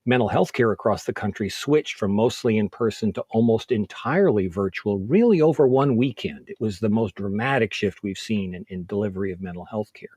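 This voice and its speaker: A man with a lowish, husky voice speaks as a medical expert. He lays out his explanation point by point in a measured way, and the recording is dry with very little room sound. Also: a little mellow, dry recording. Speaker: physician, expert interview guest.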